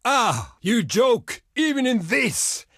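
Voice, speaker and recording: Gravelly, proud barbarian chieftain. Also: male voice, very high voice, sing-song, slow, a bit bright, dry recording, clean studio sound, exaggerated voice. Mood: scornful, mocking. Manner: solemn, declamatory, archaic phrasing. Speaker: video game character. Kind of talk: dramatic game diplomacy voice acting.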